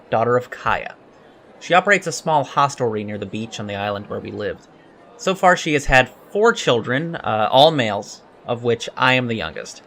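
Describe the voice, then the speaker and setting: A man's voice: medium pitch, fairly bright tone, slight background noise. A man playing an earnest young alien cadet in an audio drama, speaking politely and precisely with hesitant ums. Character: earnest young male alien Starfleet cadet, eager and scholarly. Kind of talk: audio drama interview acting.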